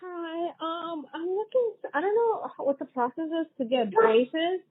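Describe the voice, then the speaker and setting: Hesitant high-voiced woman on the phone. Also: lively intonation, measured pace, dry recording. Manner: hesitant, unsure. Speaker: caller, prospective patient. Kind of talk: casual phone inquiry. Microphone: telephone. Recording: narrow, tinny phone line, dry.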